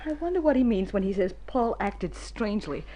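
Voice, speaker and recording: An actress playing an anxious, distressed wife in mid-century radio drama, earnest and emotional. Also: female voice, medium pitch, expressive, fairly quick, mellow, natural voice.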